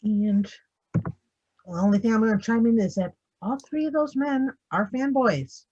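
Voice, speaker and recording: A woman's voice: fairly high pitch, moderate pace, warm tone, slightly rough. A woman moderating a panel discussion in a conversational way, heard through a dull, lo-fi microphone.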